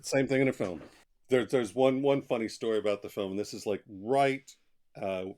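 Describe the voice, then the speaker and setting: A man with a fairly low voice talks as a guest author in a relaxed podcast interview. He tells anecdotes in plain, conversational language and leans on key words for emphasis. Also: normal speed.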